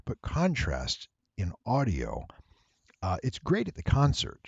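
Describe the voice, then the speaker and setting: A man giving a casual, rambling software walkthrough, thinking aloud and playfully addressing his viewers. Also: medium pitch, wildly swooping pitch, a little slow, vocal fry, breathy edge, no room sound, dull audio. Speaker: tutorial presenter and voice actor.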